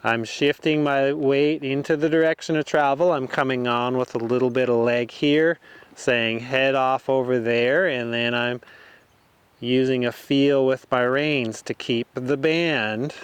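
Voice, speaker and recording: A man's voice: medium pitch, fairly even intonation, a little slow, clear, resonant tone, dry recording. A man working as a horse riding instructor, informally explaining each step while he demonstrates from the saddle.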